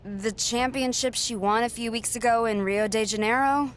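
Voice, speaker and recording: A woman's voice: a little high, steady tone, moderate pace, a little hiss or hum, natural voice. An actress playing a confident, sassy young woman wrestler in a video game cutscene, cocky and blunt. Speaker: wrestler character.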